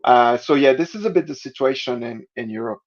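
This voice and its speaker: Swiss man, halting, lo-fi call audio. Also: mid-range voice, big pitch swings, gravelly. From Switzerland. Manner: halting, many restarts and fillers. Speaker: podcast guest. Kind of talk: casual interview answers. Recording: dull lo-fi audio.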